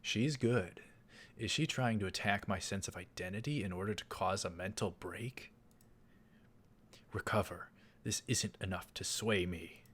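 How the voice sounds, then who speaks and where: A man's voice: lowish voice, a little slow, a bit bright, breathy edge. A man reading a visual novel aloud as a let's player, dramatizing the narration. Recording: music behind the voice. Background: game music.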